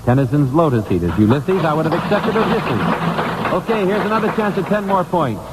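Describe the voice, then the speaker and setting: Animated American radio quiz man, echoey hall. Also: on the high side, brisk. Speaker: quiz show host. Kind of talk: 1950s radio quiz hosting. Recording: echoey, noisy 1950s radio recording.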